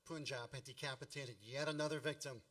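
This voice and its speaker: A man's voice: mid-range voice, fairly even intonation, brisk, distant mic, very noisy, natural voice. An actor narrating a radio play as a wry grown man recalling boyhood with mock-grand melodrama.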